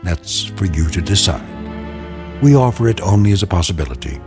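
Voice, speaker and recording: An actor playing an enigmatic narrator of a radio drama anthology, measured, philosophical and portentous. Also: male voice, low voice, big pitch swings, music in the background. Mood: knowing, mysterious.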